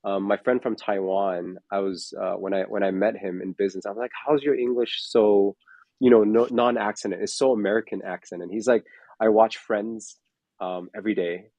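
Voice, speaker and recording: Low voiced guy in his 40s, fitness coach chatting, lots of ums, dry close sound. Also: steady tone, normal speed, a little mellow, no echo, lo-fi sound. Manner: rambling, filler-heavy, restarts often. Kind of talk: casual panel chat.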